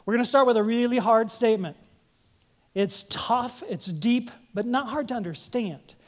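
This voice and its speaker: A woman's voice: on the high side, normal speed. A woman pastor delivering an earnest sermon, putting questions to her congregation and pressing her points.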